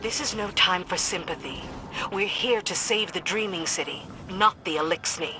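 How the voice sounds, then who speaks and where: An actress playing a dutiful, no-nonsense military commander in a game, giving brisk, clipped mission dialogue. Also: female voice, fairly low pitch, over music, close to an everyday voice. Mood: urgent, stern.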